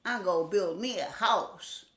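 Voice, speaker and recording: A woman's voice: mid-range voice, takes it slowly, fairly bright tone, roomy sound. A woman voicing a cocky young boy piglet who talks eagerly and boastfully.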